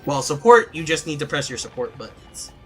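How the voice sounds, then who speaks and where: A man's voice: on the high side, very animated, fairly quick, fairly bright tone, faint music behind. A man streaming a game, answering chat questions casually with plenty of fillers and restarts. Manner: rambling, many fillers and restarts.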